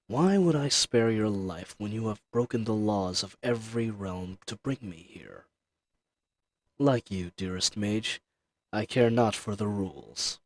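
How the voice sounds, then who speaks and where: A man plays an ancient male dragon in an intimate fantasy roleplay. The voice is fairly low and very unhurried, with a haughty, teasing manner and formal, archaic phrasing. He sounds dangerous yet tender toward the one he addresses. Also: lively intonation. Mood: musing, intimate. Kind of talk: dramatic roleplay audio addressed to the listener.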